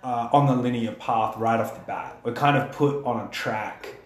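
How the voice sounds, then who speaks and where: A man's voice: medium pitch, normal speed. A young man hosting a podcast, speaking earnestly and encouragingly in long, building sentences. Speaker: podcast host. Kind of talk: reflective podcast conversation. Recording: roomy echo.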